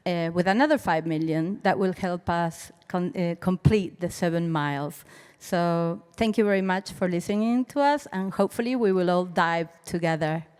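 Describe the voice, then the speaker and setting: Low, clear-voiced woman appealing in a hall. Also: normal speed. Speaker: project presenter making a closing appeal. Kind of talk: conference pitch. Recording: reverberant hall.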